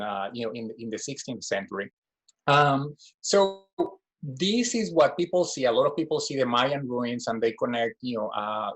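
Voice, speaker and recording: A man's voice: mid-range voice, poor audio. A man in his forties from Guatemala giving a reflective personal talk as a design professor, full of "you know" fillers and restarts.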